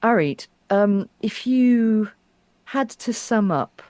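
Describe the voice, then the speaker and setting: A woman's voice: mid-range voice, animated, slow, darkish tone, no echo. A woman interviewer chatting casually and enthusiastically, with effusive reactions. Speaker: interviewer and publisher.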